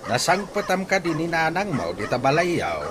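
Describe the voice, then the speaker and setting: A man with an Ilocano accent acting a gruff, nagging father in a radio comedy drama. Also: a little high, very animated, normal speed, background noise. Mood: dry, reproving. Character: gruff, nagging father of a drunkard grown son.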